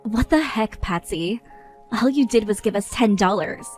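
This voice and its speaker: A woman's voice: mid-range voice, animated, normal speed, a little mellow, faint music behind, natural voice. A woman playing a fed-up, scolding bride. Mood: indignant. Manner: indignant, scolding. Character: exasperated young bride, stern and fed up.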